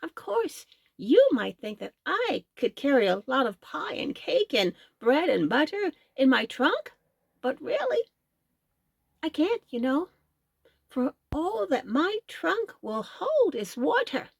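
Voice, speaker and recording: A woman voicing a big, playful elephant who talks in a high, excitable, whiny way in a storybook reading. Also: slow, creaky, no echo, clean studio sound, exaggerated voice. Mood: excited, pleading.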